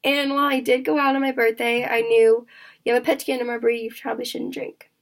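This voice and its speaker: A young woman in her twenties is talking openly with her vlog audience. Her voice sits high and has a gravelly, raspy edge. She speaks in halting stretches, full of fillers and restarts. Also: flat delivery, noisy background. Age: 20s. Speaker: vlogger. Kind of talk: candid personal vlog chat.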